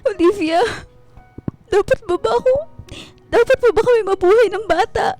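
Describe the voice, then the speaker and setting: A woman's voice: very high-pitched, normal speed, a little mellow. A woman playing an emotional, devoted pregnant wife in a radio drama, with a pleading delivery. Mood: anguished, tearful. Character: distraught pregnant young wife, forgiving and devoted. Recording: dramatic music behind.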